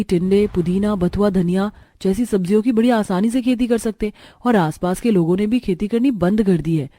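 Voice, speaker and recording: A woman's voice: medium pitch, mellow, natural voice. A woman voicing a hardworking elder daughter with a matter-of-fact manner in a cartoon story. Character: practical, hardworking elder village daughter, young and bossy.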